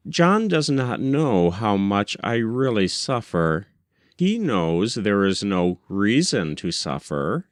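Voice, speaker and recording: A man reading a first-person literary story aloud as an audiobook narrator, with measured, deliberate phrasing. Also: fairly low pitch, smooth, no room sound, studio quality. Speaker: audiobook reader.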